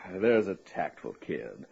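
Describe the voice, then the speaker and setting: An actor playing a wry, self-pitying man of about thirty in a radio comedy-drama, with a husky voice and hesitant, sardonic delivery. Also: low-pitched, darkish tone, exaggerated voice. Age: 30s. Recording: dull lo-fi old radio recording.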